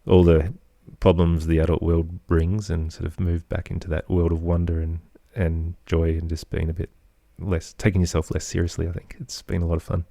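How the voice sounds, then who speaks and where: An Australian man speaking as an interview guest, thoughtful and halting as he searches for his words in reflective conversation. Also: low-pitched, flat delivery, gravelly, dark tone, dry recording. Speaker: guest, composer and children's podcaster.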